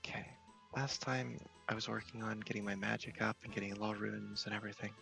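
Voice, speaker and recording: A man softly narrating his gameplay ASMR-style into a headset microphone in a casual, unhurried, rambling way, at times dropping to a whisper. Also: lowish voice, fairly even intonation, vocal fry, over music, rough recording. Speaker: host of a gaming channel. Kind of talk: soft-spoken ASMR gameplay commentary.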